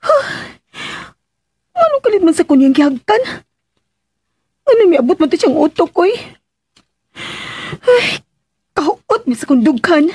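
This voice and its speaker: High-pitched flustered young housemaid. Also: female voice, sing-song, unhurried, pauses often, darkish tone, no echo, studio quality, heavily acted. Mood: flustered, nervous. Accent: Filipino. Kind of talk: Filipino radio drama acting.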